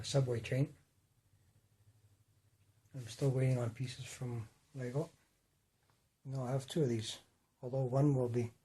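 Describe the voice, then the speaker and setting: A man with a rough voice, casually rambling and correcting himself while he shows his model city. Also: mid-range voice, warm tone. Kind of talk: casual talk-through.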